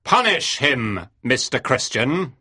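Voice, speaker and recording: A harsh, imperious sea captain from a storybook played by a woman reader. The voice is husky and forceful, barking out orders slowly with big swings of pitch. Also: very animated, heavily acted. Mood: stern, commanding. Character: stern, angry male sea captain, a harsh disciplinarian. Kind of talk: audiobook character dialogue.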